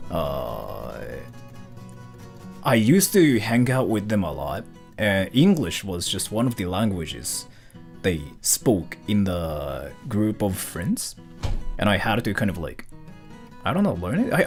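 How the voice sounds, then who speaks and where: Rambly streamer guy with lively pitch. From Japan. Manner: rambling and loose, full of "like" and "uh". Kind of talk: casual livestream chat, reading viewer questions.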